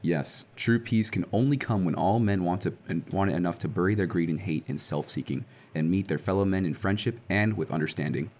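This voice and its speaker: A man's voice: low voice, fairly quick, hardly any pauses, a little mellow. An actor playing a confident, kindly heroic man in an old-time radio serial. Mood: earnest, resolute.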